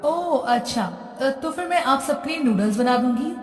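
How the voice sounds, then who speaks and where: A woman's voice: high-pitched, animated, normal speed, soft background music. A woman voicing a spoiled, whiny young bride in a cartoon story, speaking in a petulant, exasperated way. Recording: roomy echo, distant from mic.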